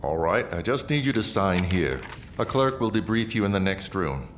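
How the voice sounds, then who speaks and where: Low-voiced, weary lieutenant. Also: male voice, lively intonation, moderate pace, a little hiss or hum. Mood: businesslike, evasive. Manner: terse, restrained. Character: weary, guilt-ridden male lieutenant, quietly resolute. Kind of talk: audio drama acting.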